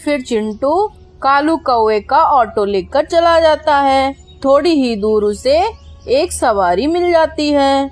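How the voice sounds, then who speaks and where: A woman narrator tells a children's cartoon story in a high voice at a slow, measured pace. The sound is dry, with faint music behind it. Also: animated.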